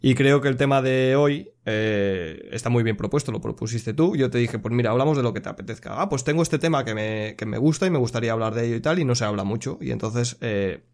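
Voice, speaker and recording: A man's voice: medium pitch, fast talker, hardly any pauses. A man hosting a podcast, talking casually and hesitantly with frequent fillers. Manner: relaxed, hesitant with many fillers and restarts. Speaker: podcast host.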